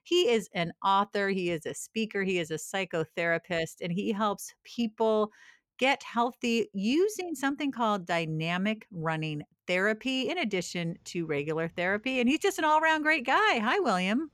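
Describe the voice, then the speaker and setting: A woman's voice: mid-range voice, lively intonation, moderate pace, fairly bright tone, no room sound, studio quality. A woman hosting a health podcast, talking in an upbeat, enthusiastic way. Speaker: podcast host. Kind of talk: podcast interview and book promo.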